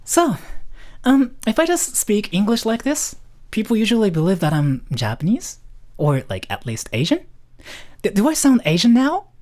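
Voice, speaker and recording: A teenage boy putting on a high-pitched voice to show how he is expected to sound. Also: wildly swooping pitch, normal speed. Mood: demonstrating. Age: teens. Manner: exaggeratedly high and bright. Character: young man in a high-pitched put-on voice.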